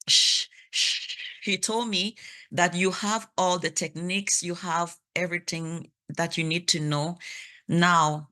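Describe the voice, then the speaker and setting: A woman's voice: lowish voice, pushed and bright. Stuttering woman from Cameroon. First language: French. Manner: stutters with repeated sounds and restarts. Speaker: speaker sharing her story. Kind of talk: personal testimony talk.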